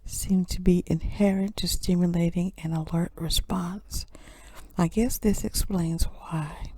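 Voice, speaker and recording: A woman who runs a soft-spoken ASMR channel, teaching in a gentle whisper and repeating key phrases slowly. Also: lowish voice, breathy edge, no room sound.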